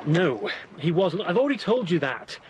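A man's voice: high-pitched, very animated, normal speed. An actor playing a wary, grudging hired boatman in a period radio crime drama, curt and evasive. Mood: surly, guarded. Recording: noisy boat and water sound effects. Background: boat and water sounds.

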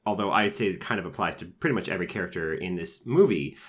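A man's voice: low voice, lively intonation, quick pace. A man giving a casual, conversational film critique, with frequent fillers and restarts. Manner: conversational, frequent fillers and restarts, mock voices when quoting characters. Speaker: film reviewer. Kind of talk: casual podcast discussion.

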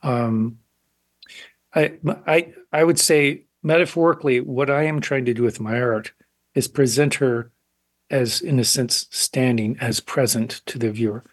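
Halting thoughtful older man, dry studio. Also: mid-range voice, dry recording. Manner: thoughtful, halting, frequent restarts. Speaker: guest artist. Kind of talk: radio interview answers.

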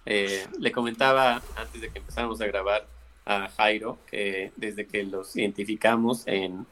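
A male co-host with a fairly low voice talks casually. He leans on fillers as he chats with the guest. His delivery is relaxed and unscripted. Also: normal speed, slight background noise, poor audio. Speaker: podcast co-host. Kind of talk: podcast interview.

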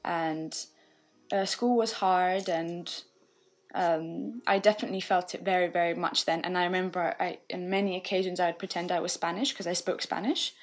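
Woman, young, podcast guest; thoughtful, unhurried, lots of ums and gentle laughs. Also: fairly low pitch, steady tone. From England. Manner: thoughtful, frequent fillers and soft laughs. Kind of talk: casual reflective conversation.

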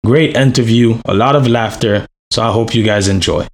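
A man's voice: on the low side, normal speed. A man, the host of a podcast, reading an upbeat promotional intro.

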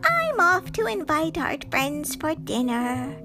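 A woman doing a squeaky little witch girl. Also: wildly swooping pitch, takes it slowly, over music, exaggerated voice. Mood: eager.

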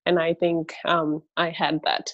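A woman's voice: low-pitched, flat delivery, a little slow, fairly bright tone, dry recording, muffled recording. A young woman who grew up in Singapore, answering interview questions in a chatty, earnest way with lots of "you know". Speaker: guest, university lecturer.

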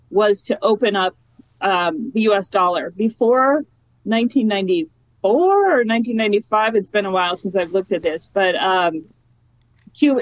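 A woman geography professor talking as an interview guest, chatty and relaxed, with lots of fillers and restarts. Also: mid-range voice, no room sound, rough recording. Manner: chatty, many fillers and restarts, laughs easily. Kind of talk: casual academic interview.

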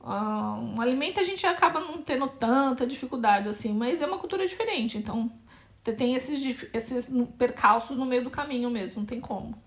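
A woman's voice: a little high, lively intonation, brisk. Brazilian woman in her forties, thoughtful. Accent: Brazilian Portuguese. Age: 40s. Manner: thoughtful, hesitant, often restarts mid-phrase. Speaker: interviewee, Brazilian immigrant in Portugal. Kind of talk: casual family interview.

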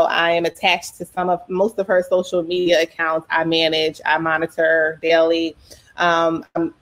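A woman with a fairly low voice talks as an interview guest. She speaks frankly and casually, leaning on plenty of "you know" and "um.". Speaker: parent advocate, interview guest. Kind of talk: conversational interview.

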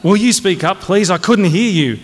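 A man's voice: a little high, big pitch swings, moderate pace, fairly bright tone, voice pushed up. Man acting a bellowing army colonel, echoing hall. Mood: barking. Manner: barking, domineering. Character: bloated, bullying army colonel, loud and irascible. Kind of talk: comic dramatised audiobook reading. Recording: long echoing hall reverb.

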